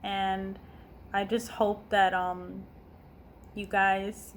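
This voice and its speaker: A woman's voice: medium pitch, flat delivery, extremely slow, a little hiss or hum. A woman in her twenties, an online language teacher, talking casually and earnestly to her viewers. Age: 20s. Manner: earnest and heartfelt, asks herself questions. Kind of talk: casual talk to camera.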